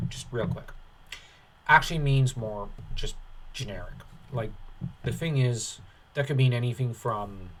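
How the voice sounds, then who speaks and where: A man's voice: medium pitch, fairly bright tone, breathy edge. A man reading an article aloud as a commentator, breaking off into dismissive, sardonic, off-the-cuff asides. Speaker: online commentator.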